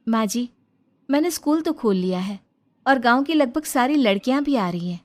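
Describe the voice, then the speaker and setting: A woman's voice: fairly high pitch, expressive, moderate pace, darkish tone, voice pushed up, natural voice. Cartoon story role, woman performing: principled young wife and teacher, persuasive, earnest delivery. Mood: earnest, encouraging. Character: principled, self-respecting young daughter-in-law and village teacher. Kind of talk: cartoon moral story dialogue.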